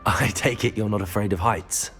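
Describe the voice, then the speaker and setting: A man's voice: lowish voice, moderate pace, a bit bright, soft background music. A man playing a polite, curious teenage wizard student in a video game. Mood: curious, composed. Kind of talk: scripted video game dialogue.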